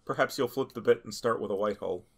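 A man's voice: on the low side, fast talker, fairly bright tone. A man playing a tabletop game, talking in a dry, understated way. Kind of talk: casual role-play chat.

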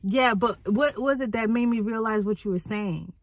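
A young woman vlogging from a parked car, hoarse from a cold, chatting in an animated storytelling way. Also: a little high, moderate pace. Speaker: vlogger mother. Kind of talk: casual vlog chat. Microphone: phone held at arm's length.

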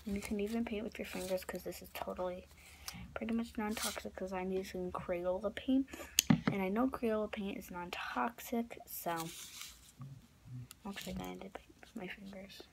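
A young woman with a raspy, often whispery voice, rambling through a casual craft tutorial with lots of fillers and restarts, filmed close up on a phone camera in her bedroom. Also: medium pitch, moderate pace. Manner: rambling, filler-heavy, self-correcting. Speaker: craft vlogger. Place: small bedroom indoors. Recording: noisy home recording.

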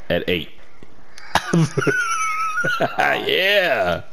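Young guy, deep voice, drawls slow but swings up and down a lot, rambly gamer chat. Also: huge pitch swings, gravelly, heavy background noise. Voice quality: fairly deep voice. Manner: rambling, slangy, self-mocking. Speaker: gaming channel co-host. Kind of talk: casual chat while playing a game.

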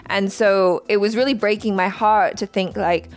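A woman in casual reaction chat, speaking reflectively and with feeling, in halting phrases. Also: medium pitch, steady tone. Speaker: reaction show host.

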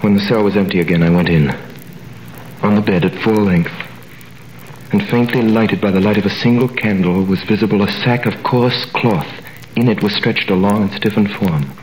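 A man's voice: fairly low pitch, moderate pace, darkish tone, lightly acted, low-quality recording. An actor playing a young prisoner who narrates his story in a 1930s radio drama, with earnest, dramatic delivery. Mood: grave narration. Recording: old radio recording with faint hiss.

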